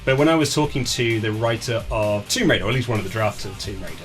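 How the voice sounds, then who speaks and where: A man's voice: lowish voice, fast, faint music behind. A man being interviewed as an author, chatting casually and telling stories in a chatty way, often acting out conversations. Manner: chatty storyteller who acts out quoted conversations. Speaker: author being interviewed about his book. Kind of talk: casual chat, animated anecdotes.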